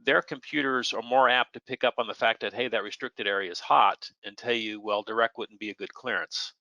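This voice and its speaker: A man's voice: medium pitch, steady tone, fairly quick, pushed and bright, no echo, highs cut off. An American man, an air traffic controller, answering questions in a plainspoken, conversational explaining style, sometimes acting out short example exchanges. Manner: plainspoken, illustrates points with imagined radio exchanges.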